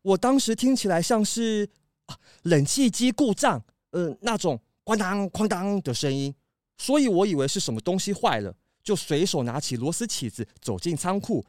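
A man's voice: high voice, lively intonation, normal speed, a little mellow, no room sound, studio quality. A man playing a chatty, obliging delivery worker who explains things casually in a children's audio detective drama. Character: friendly, chatty male supermarket delivery worker.

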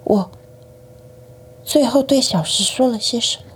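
A woman playing a guilt-stricken boy, an older brother, in radio-drama style, with a husky, breathy voice and emotional delivery. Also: on the high side, measured pace. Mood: anguished, regretful.

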